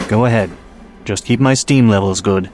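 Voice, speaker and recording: A man playing a gruff, fatalistic steam train engineer in an audio drama, speaking in a terse, blunt way. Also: on the low side, moderate pace, a little mellow, slight background noise, natural voice. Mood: dismissive, blunt. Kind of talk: amateur audio drama acting.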